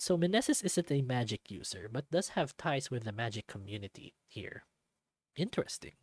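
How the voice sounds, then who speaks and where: A person reading a visual novel aloud and commenting casually, thinking out loud in a hesitant, reactive way. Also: medium pitch, vocal fry, dry recording. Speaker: let's play narrator and commentator. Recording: background music behind the voice.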